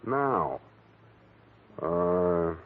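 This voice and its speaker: An officious police captain in 1940s radio drama. His voice sits fairly low and he plods along in an official way. The recording is old, thin and tinny. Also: big pitch swings, extremely slow, full character voice. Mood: officious, plodding.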